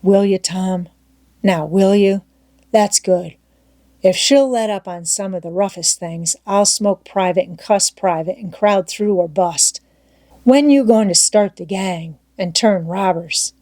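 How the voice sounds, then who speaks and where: A woman's voice: medium pitch, measured pace, warm tone, no room sound, lightly acted. A woman voicing a stubborn, plain-spoken runaway boy in a storybook, with a folksy, ungrammatical dialect. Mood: eager. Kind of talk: storybook character voice in audiobook reading.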